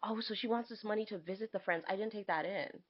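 A woman co-hosting a podcast, chatting casually and reading letters aloud in an expressive, reactive manner. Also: medium pitch, fast, highs cut off. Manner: expressive, reacts to what is read. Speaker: podcast co-host.

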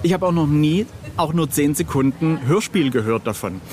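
Middle-aged male guest, fairly high animated voice, chatty and self-deprecating, some background noise. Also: moderate pace. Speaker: interview guest, illustrator. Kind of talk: casual anecdotal interview chat.

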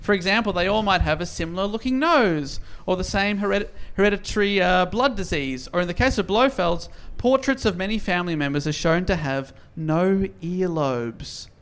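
High-voiced joking man. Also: normal speed, few pauses, fairly bright tone, clean tone. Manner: jokey, laughs while telling. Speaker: podcast host recapping a novel. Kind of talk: casual comedic recap.

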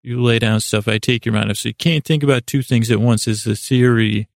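Very gravelly man rambling on a podcast. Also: mid-range voice, fairly quick, no echo, clean studio sound. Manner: meandering, hesitant, with self-deprecating asides and acted-out imaginary dialogue. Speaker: host of a sleep podcast. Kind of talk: rambling, tangential podcast monologue.

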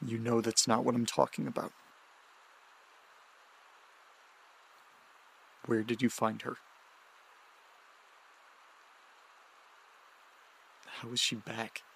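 An actor playing an anxious, desperate man in an audio drama, speaking haltingly and repeating words. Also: medium pitch, monotone, vocal fry, a bit bright, breathy edge, rough recording. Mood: confrontational. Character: anxious, desperate man searching for his dog.

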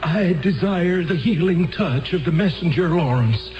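An actor playing a faith healer's earnest aide in a radio drama, speaking with deliberate reverence. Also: male voice, on the high side, lively intonation. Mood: declamatory, pleading. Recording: dull old radio, music behind.

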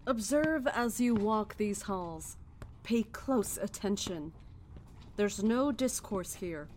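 A woman playing a calm, zealous cult leader in a horror audio drama, speaking in a measured, formal, persuasive way. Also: mid-range voice, a little hiss or hum. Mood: serene, commanding.

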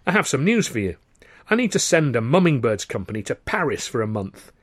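A jovial, touchy middle-aged impresario, voiced by a man reading a story. He hems and haws, then snaps, his pitch leaping about. The voice is a little husky and close and dry. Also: sing-song, a little fast, a bit bright, dry recording. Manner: blustering, hesitant then snapping.

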